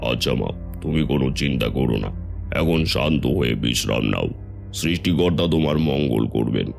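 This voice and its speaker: Cartoon sadhu acted by a man: extremely deep, creaky voice, fatherly and solemn, old-fashioned phrasing. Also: expressive, a little fast, faint music behind, heavily acted. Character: wandering holy man, cryptic and fatherly. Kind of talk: Bengali cartoon folk-tale voice acting.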